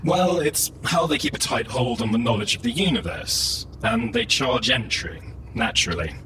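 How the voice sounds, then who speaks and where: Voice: mid-range voice, expressive, moderate pace, distant mic, natural voice. An actor playing a dry, matter-of-fact spaceship crew member who reports findings in a live sci-fi comedy audio drama. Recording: noisy live stage recording, off mic.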